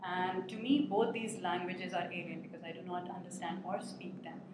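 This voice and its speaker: A woman whose first language is Urdu talks thoughtfully about her art, pausing often with filler sounds. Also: lowish voice. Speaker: visual artist. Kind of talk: artist talk about her work. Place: art gallery. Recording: very echoey room with steady background noise.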